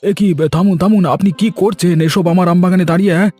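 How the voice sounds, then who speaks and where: An anxious orchard keeper acted by a man speaks in a raised, excitable cartoon voice. He is insistent and suspicious, deferential to his master, with music playing underneath. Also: high voice, fast talker, no room sound. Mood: alarmed, suspicious. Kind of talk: Bengali cartoon dialogue acting.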